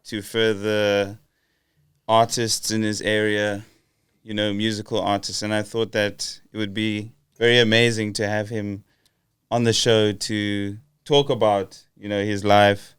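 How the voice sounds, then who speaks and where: A man's voice: on the low side, level tone, measured pace, a bit bright, clean tone, no room sound, studio quality. A young man hosting a casual podcast interview, hesitant with many fillers and restarts. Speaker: podcast host.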